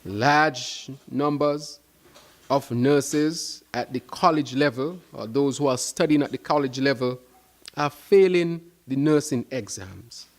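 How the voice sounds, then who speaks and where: A man giving a prepared press conference speech as an opposition spokesman, in deliberate, emphatic short phrases. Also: medium pitch.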